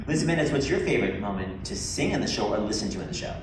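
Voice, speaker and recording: A man hosting an onstage Q&A with a theater cast, teasing and animated with quick asides. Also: fairly low pitch. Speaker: talk show host interviewing a cast. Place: theater stage before a live audience. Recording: echoey hall from far off, audience noise.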